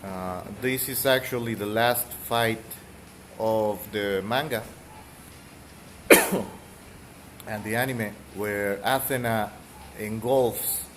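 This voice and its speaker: A man's voice: lowish voice, fairly even intonation, slow, pauses often, background noise. A man from Mexico with a thick Mexican accent, rambling through casual game commentary with fillers and the odd cough. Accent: Mexican Spanish. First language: Spanish. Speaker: gaming streamer.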